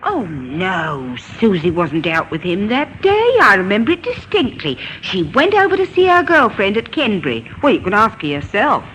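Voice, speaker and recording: A woman's voice: medium pitch, very animated, normal speed, hardly any pauses, a bit bright, rough recording. An actress playing an emphatic local woman in a vintage radio drama. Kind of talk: 1950s radio drama acting. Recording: old radio recording with faint noise.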